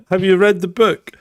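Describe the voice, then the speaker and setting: A man's voice: high voice, no echo. Chuckling man, dry sound. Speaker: podcast co-host. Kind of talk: casual live chat.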